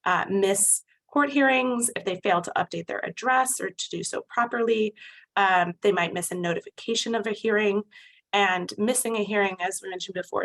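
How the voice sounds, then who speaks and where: A woman immigration attorney with an American accent presenting a legal webinar, measured and thoughtful, often pausing with fillers and restarts. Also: fairly low pitch, vocal fry, fairly bright tone, poor audio. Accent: American English.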